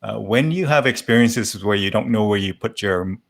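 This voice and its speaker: A man hosting a casual live interview, speaking in halting, measured phrases. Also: lowish voice, creaky, a little hiss or hum. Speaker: talk show host.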